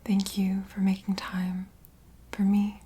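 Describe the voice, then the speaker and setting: A woman softly whispering in a soothing, reassuring, unhurried way, as an ASMR host in a gentle comforting roleplay. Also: medium pitch, monotone. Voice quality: soft breathy whisper.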